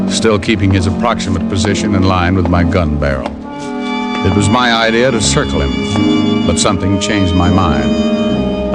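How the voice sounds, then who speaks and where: A man's voice: low voice, close to an everyday voice. A man playing a wry, hard-boiled private detective with clipped delivery in a 1940s radio drama. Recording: old radio recording with loud music.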